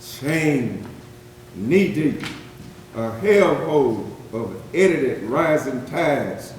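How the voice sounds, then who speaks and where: A man performing a solemn poet-witness who mourns the horrors of slavery, reciting dramatic verse in a declamatory, deliberate way with weighted pauses. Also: mid-range voice, expressive. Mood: grave, mournful. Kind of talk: dramatic spoken-word poetry recitation, readers theatre. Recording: very echoey hall, distant mic, faint noise.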